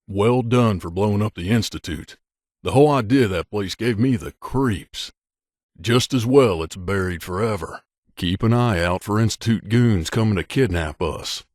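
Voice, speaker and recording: A man's voice: lowish voice, darkish tone, dry recording, studio quality. A man playing an earnest, guarded wasteland drifter and loyal companion in a video game, speaking conversationally. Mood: wary, casual. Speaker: voice actor.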